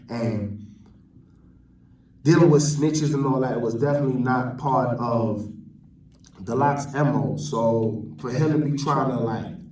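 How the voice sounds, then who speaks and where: A man's voice: medium pitch, darkish tone. A man from New York with a New York accent gives an off-the-cuff opinion rant as a vlogger, using street slang and repeating phrases for emphasis. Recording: roomy echo, distant from mic.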